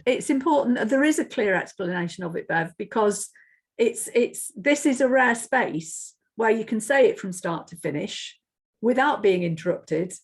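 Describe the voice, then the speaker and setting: A woman's voice: mid-range voice, lively intonation, normal speed, husky. A woman hosting an interview, attentive, asking questions and offering brief affirmations. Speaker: interviewer, host.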